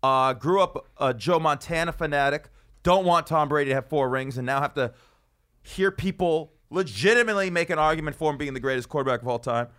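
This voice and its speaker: Man, podcast host, slightly high voice, sarcastic rambling studio banter. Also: brisk, fairly bright tone. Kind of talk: casual comedic podcast chat.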